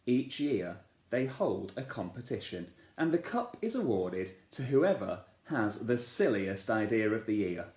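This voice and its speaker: A man, a teacher, reading a children's storybook aloud in a playful, expressive way, asking the listeners questions. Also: medium pitch. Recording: echoey room.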